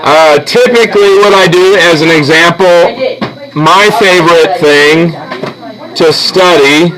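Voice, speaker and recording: A man's voice: a little high, unhurried, a crowd in the background, rough recording. A man teaching a drawing class in an informal, encouraging way, instructing students as he demonstrates. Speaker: art teacher. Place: busy school classroom. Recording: classroom recording with students chattering behind.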